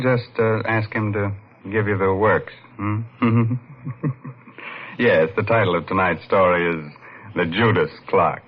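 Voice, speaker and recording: Sly, low-voiced male radio host. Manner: sly, playful. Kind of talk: 1940s radio mystery introduction. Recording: dull old radio recording, faint music.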